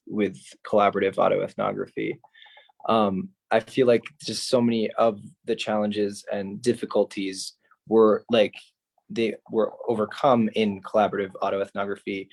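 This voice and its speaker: A man's voice: lowish voice, creaky. A man speaking as a research panelist in a casual academic discussion, hesitant as he thinks aloud.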